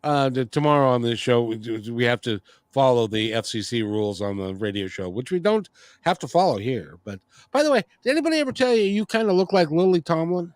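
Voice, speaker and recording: A middle-aged man hosts a podcast in an easygoing, meandering way, circling back and restarting his sentences. His voice sits at an ordinary pitch, recorded dry with no room echo. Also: expressive, brisk. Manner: rambling, frequent restarts and fillers. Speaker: podcast and radio show host. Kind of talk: casual interview chat.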